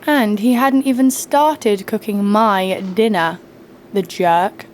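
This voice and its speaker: A woman's voice: medium pitch, slow pace, darkish tone, a little hiss or hum, natural voice. A woman playing a sassy, snarky heroine who narrates an audio drama story over faint music. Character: sassy, snarky female sidekick-owning storyteller, fond but teasing. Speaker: narrator and lead. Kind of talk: scripted first-person story narration.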